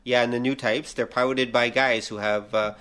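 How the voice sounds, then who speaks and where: A man's voice: mid-range voice, steady tone, moderate pace, fairly bright tone. A man co-hosting a podcast, talking casually about pop culture in an explanatory, analytical way. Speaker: podcast co-host.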